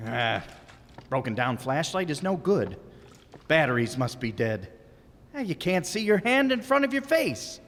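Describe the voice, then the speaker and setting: A man's voice: on the high side, very animated, a little slow, a bit bright, heavily acted. A man playing John, a grumbling, put-upon and exhausted husband, in an old-time radio comedy staged live in a reverberant hall. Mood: muttering. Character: John, a grumpy, exhausted middle-aged husband. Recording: live stage recording with long reverb and faint noise.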